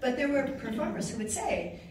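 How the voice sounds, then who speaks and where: A woman's voice: sits high, very animated, a little fast. Woman giving speech in echoey hall. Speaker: award recipient giving acceptance speech. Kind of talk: formal acceptance speech. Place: ornate reception room at a consulate. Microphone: podium mic, recorded from far off. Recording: echoey room, far from camera, noisy.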